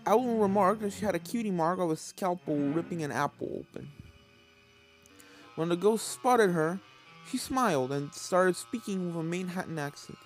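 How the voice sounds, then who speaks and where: A woman's voice: fairly low pitch, animated, normal speed. A woman reading a scripted fan fiction story as its narrator. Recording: background music under the voice. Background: game soundtrack music.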